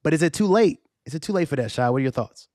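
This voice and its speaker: A man hosting a podcast in a casual, slangy way, his voice hoarse and fading. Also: on the high side, lively intonation, racing, warm tone, no room sound, clean studio sound. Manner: laid-back, slangy, conversational. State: losing his voice. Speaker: podcast host.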